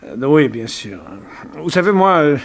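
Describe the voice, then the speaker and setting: Radio drama role: bashful, blunt forty-year-old tycoon, highish voice, halting and repeating himself. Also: male voice, big pitch swings, gravelly, exaggerated voice. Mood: flustered, proud. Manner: hesitant, stammering, repeating words. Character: forty-year-old self-made immigrant banker, awkward, bashful, blunt. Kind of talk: 1960s French radio drama acting.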